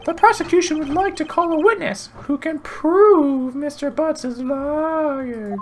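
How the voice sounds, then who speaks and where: Woman putting on a high-pitched, smug male prosecutor. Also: unhurried, a little mellow, a little hiss or hum.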